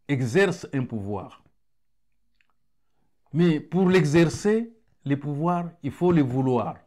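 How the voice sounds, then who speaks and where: A man lecturing at an unhurried, deliberate pace, his voice sitting a little high for a man. He talks with frequent pauses and restarts, leaning hard on key words as he builds his points. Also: darkish tone. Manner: measured, pausing often with restarts and stressed key words. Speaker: lecturer on African thought. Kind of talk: unscripted lecture.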